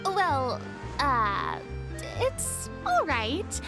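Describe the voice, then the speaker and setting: A vain, theatrical young star. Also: female voice, sits high, huge pitch swings, drawn-out words, vocal fry, heavily acted. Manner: haughty, dramatic, self-important. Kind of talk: game voice acting. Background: game music.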